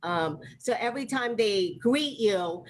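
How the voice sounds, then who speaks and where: A woman's voice: mid-range voice, lively intonation, unhurried. A young American woman from Ohio doing chatty stand-up storytelling at an online open mic, with lots of fillers and frequent laughs. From Ohio, USA. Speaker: stand-up comic. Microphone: video call. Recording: faint background noise, video call.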